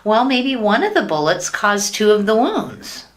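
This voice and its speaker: A woman's voice: mid-range voice, very animated, unhurried. A woman playing a fretful, naive, innocently questioning housewife in a classic sitcom radio play. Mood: innocently curious.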